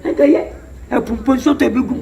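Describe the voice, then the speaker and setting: A man's voice: very high-pitched, moderate pace, vocal fry, dark tone. An actor with a Ghanaian accent playing a scheming older man who bargains over money. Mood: persuading. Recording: roomy, distant, faint hiss.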